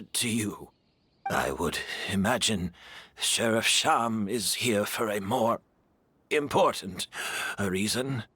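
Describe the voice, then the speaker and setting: An actor playing a gentle, resigned older gentleman in a video game, courteous, wistful and measured. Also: on the low side, full character voice. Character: gentle, resigned older gentleman, a master who tends flowers. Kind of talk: video game character acting.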